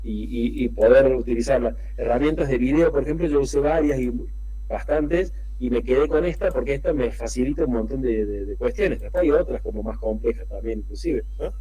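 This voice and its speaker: Man, halting online presenter; dark and a bit husky. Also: medium pitch, low-quality recording. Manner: halting, many fillers and restarts. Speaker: guest speaker on AI tools. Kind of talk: online talk demoing tools on screen.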